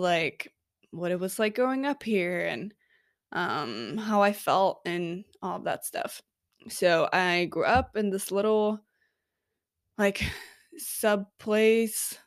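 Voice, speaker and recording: A woman's voice: mid-range voice, no room sound, clean studio sound. A woman in her twenties from Norway hosting her own podcast, chatting casually and upbeat, with lots of "like" and fillers. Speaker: podcast host. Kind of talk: casual solo podcast monologue.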